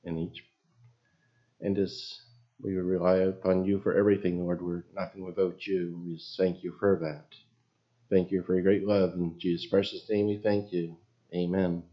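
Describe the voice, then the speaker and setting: A man with a very low voice leading prayer and giving an informal sermon in a small church, reading scripture and losing his place now and then. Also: level tone, moderate pace, dull audio. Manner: folksy, loses his place and apologizes. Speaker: lay preacher. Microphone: PA microphone.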